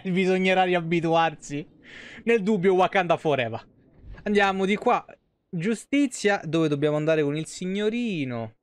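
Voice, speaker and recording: A man's voice: high-pitched, unhurried, clear, resonant tone, dry recording. A man in his thirties giving casual, slangy, sweary and sarcastic live commentary on a game. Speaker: game streamer. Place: small room at home, indoors.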